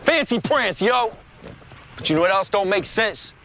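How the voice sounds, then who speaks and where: A man's voice: high-pitched, animated, a little slow, sharp tone. A man performing a comic scripted advertisement in a playful, exaggerated, slangy way.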